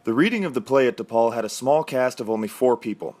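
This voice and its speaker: A man reports a feature in scripted news narration. His pitch is average for a male voice, and he delivers the copy as a reporter. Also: expressive, fast, darkish tone.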